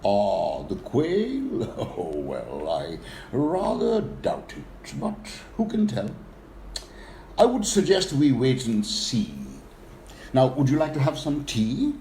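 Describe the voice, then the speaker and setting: A man voicing an urbane, patient elephant in a verse recital, measured, genial and musing. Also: medium pitch, wildly swooping pitch, mellow, voice pushed up, exaggerated voice. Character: urbane, patient male elephant, calm and hospitable. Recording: roomy echo, faint background noise.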